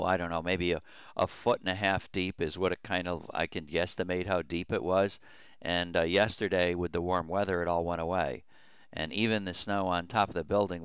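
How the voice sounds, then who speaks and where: A man's voice: deep voice, smooth, no room sound. A man chatting casually on a livestream as a radio hobbyist host, rambling in a folksy, hesitant way.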